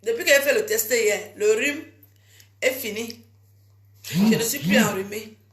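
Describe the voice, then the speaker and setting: A woman's voice: fairly high pitch, measured pace. Teasing, chatty woman in an echoey room. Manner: chatty, teasing and scolding her viewers. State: has a cold. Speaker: vlogger. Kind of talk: casual chat to fans.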